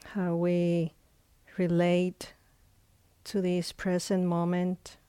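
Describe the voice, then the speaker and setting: A woman leading a guided meditation as a teacher, speaking in short phrases with long, unhurried pauses. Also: lowish voice, completely flat delivery, mellow, clear, resonant tone, dry recording.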